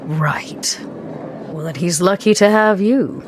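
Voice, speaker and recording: A woman voicing a genteel, reserved female vampire in a tabletop roleplay podcast, with dry, measured questioning. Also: lowish voice, noisy background. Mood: probing, curious.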